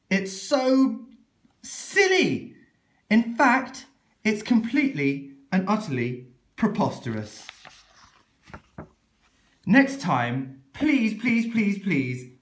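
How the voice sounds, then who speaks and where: A man reading a children's book aloud in a theatrical, exaggerated, mock-exasperated way. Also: fairly high pitch. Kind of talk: animated children's story read-aloud. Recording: echoey room.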